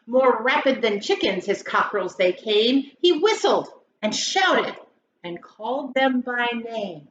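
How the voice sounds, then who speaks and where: High-voiced woman reading a storybook, roomy echo. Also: sing-song, measured pace. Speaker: grade school educator reading aloud to pupils. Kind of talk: expressive children's storybook read-aloud. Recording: roomy echo, dull sound, faint noise.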